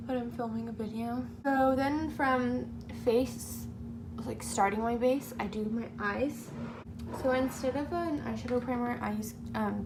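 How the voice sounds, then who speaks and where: A young woman with a fairly high, slightly hoarse voice talks casually through a makeup routine. She rambles and puts herself down as she goes. It is an amateur home recording with a clear hum and noise behind her. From California, United States. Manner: self-deprecating, rambling, trailing into mutters. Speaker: beauty vlogger. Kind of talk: casual makeup tutorial chat.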